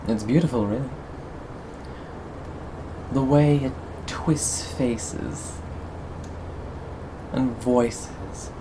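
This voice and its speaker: A performer of unclear sex playing a sadistic, possessive cannibal captor in an ASMR roleplay, lingering on words with mock tenderness and soft menace. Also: mid-range voice, noisy background, close to an everyday voice. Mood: gloating. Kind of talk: ASMR roleplay, scripted monologue to listener.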